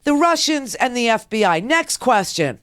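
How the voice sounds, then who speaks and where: A woman hosts a political talk radio show in a sarcastic, combative monologue. Her voice sits high and the sound is dry and close. She heckles as she goes. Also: very animated, moderate pace, a bit bright, no echo.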